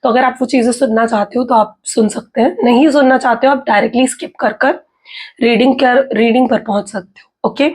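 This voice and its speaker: A woman with an Indian accent talks through a tarot reading in a relaxed, chatty way. Her voice is hoarse and husky from a sore throat, and it sits a bit high. She keeps checking in with her viewers as she explains. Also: fairly quick. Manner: chatty, mixes in English, frequent "okay" and "theek hai" check-ins. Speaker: tarot reader. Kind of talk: casual explanatory talk to viewers.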